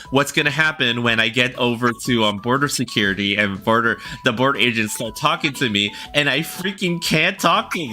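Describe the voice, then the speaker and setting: A man's voice: mid-range voice, bright, forceful tone. A man chatting casually on a livestream, rambling and checking in with viewers as he talks. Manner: rambling, talks directly to viewers with frequent "right?". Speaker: livestreamer and illustrator. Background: faint background music.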